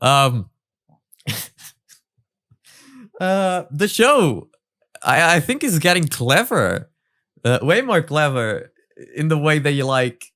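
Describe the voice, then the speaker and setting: A man's voice: on the high side, very animated, slow, long pauses, a bit bright, dry recording, studio quality. A man giving casual, rambling, analytical commentary as he reacts to a parody cartoon.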